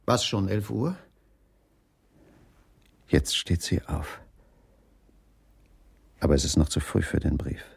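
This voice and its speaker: An actor playing a weary, unhappily married waiter in his thirties in a radio crime drama, with a gravelly, husky voice. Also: sits low, lively intonation, takes it slowly, pauses often, heavily acted. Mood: brooding. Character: weary dining-car waiter, unhappily married man in thriller.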